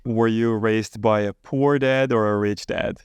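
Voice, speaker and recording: A man's voice: lowish voice, fairly even intonation, a little slow, clear, resonant tone, no echo. A man hosting a podcast, asking short, curious questions. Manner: curious, brief prompting. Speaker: podcast host. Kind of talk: interview questions.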